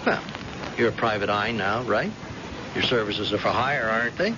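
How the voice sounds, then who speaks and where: A man's voice: on the low side, moderate pace, lightly acted. An actor playing a stubborn blinded police captain and protective father in a radio drama, speaking insistently with hesitant starts. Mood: defiant. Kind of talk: old-time radio drama acting. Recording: noisy, muffled old radio recording.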